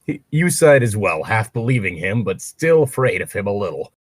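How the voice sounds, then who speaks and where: A man's voice: lowish voice, big pitch swings, moderate pace, slightly rough, dry recording. A man reading fan fiction aloud casually and breaking in with sarcastic, crude wisecracks. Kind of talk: casual read-aloud with joking commentary.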